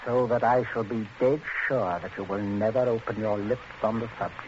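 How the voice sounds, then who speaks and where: A man acting in a 1940s radio thriller as a cold, controlling middle-aged father with clipped, deliberate, icily polite menace. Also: fairly low pitch, fairly even intonation, close to an everyday voice. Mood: menacing. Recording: dull, noisy vintage 1940s radio recording.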